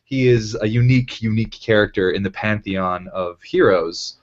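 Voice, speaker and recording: Man, very low voice, casual fan chat, panel guest, muffled lo-fi audio. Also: normal speed. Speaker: cast or crew member on a panel Q and A.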